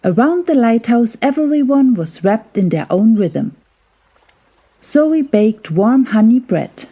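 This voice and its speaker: A woman narrates a children's bedtime story with an unhurried, soothing delivery. Her voice is mellow and husky, and her pitch moves expressively through the gentle phrases. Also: lively intonation.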